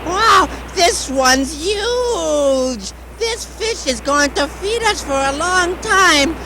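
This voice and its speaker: An excitable, superstitious fisherman puppet with a high voice and drawn-out delivery. He is full of exclamations and repetitive chants. Loud noise sits behind the recording. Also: full character voice. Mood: excited. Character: excitable superstitious fisherman puppet, singing mantras, terrified of ghosts. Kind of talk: puppet show acting.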